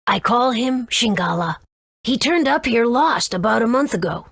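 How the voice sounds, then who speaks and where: Scrappy, husky-voiced young boy. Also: a little high, expressive, normal speed, a bit bright, no room sound, studio quality, heavily acted. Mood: casual, worried. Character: scrappy young cave-dwelling boy, caring and hasty. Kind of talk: video game voice acting.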